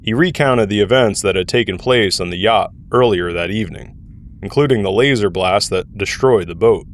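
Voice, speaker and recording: Low-voiced man narrating an audio drama. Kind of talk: scripted story narration. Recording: faint ambient noise behind.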